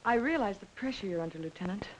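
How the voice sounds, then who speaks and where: A woman's voice: mid-range voice, expressive, slight background noise, natural voice, poor audio. An actress playing a poised, earnest young woman under suspicion in a 1940s film noir, speaking politely and carefully. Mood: subdued, composed. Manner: measured, polite, hesitant. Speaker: film actor. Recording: 1940s film soundtrack with score behind.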